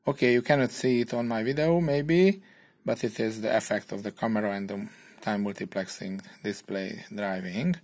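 A man with a Hungarian accent, a non-native English speaker, narrating a hobby electronics kit tutorial in plain, slightly halting English. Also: lowish voice, fairly even intonation, background noise.

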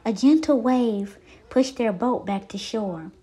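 A woman reading a picture storybook aloud to young children, with theatrical, drawn-out emphasis. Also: on the high side, mellow, breathy edge.

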